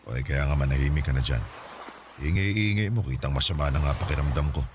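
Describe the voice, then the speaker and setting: A sick, grumbling older father in a radio drama, his voice very deep and gruff. He complains at his family in short, weary lines. A clear hiss of noise sits under the recording. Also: fast.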